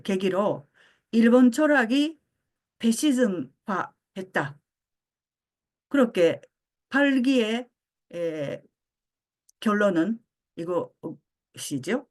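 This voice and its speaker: An older woman born in Japan giving a philosophy lecture, pausing often with fillers as she searches for words. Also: mid-range voice, animated. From Japan (Osaka). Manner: frequent hesitation fillers, halting.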